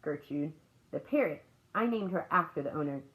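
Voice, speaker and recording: Woman as a storybook male pet shop clerk, shy, halting, mumbly, unsure, varied intonation. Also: low-pitched, lively intonation, fairly bright tone, poor audio. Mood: hesitant.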